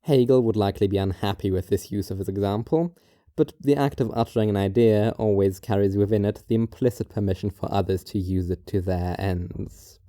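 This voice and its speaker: A man narrating a scripted first-person story in a measured, detached, formal manner. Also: lowish voice, warm tone, no echo, clean studio sound.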